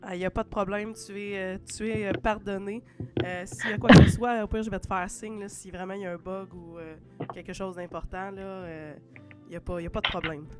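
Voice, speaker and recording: A woman with a Quebec French accent hosts a casual live stream, talking loosely and filling pauses with hesitations. She is a little sick with a cold. Faint music plays in the background. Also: mid-range voice. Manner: chatty, relaxed, many "euh" fillers.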